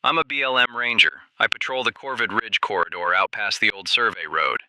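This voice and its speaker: A man playing an earnest, shaken desert ranger phoning a radio drama's talk show, plain-spoken, halting and emphatic. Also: lowish voice, pushed and bright, a little hiss or hum, low-quality recording. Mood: uneasy, insistent. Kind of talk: radio drama phone-in testimony.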